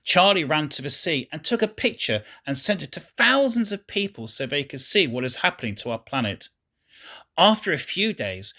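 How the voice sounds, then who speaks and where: A man reading a children's story aloud in an expressive, deliberate way, as scripted narration. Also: fairly high pitch.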